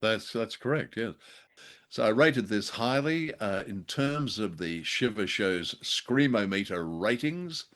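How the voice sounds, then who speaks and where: A man co-hosting a conversational review show with a droll, halting delivery. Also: fairly low pitch, expressive, a bit bright. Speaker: podcast co-host. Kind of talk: conversational radio drama review.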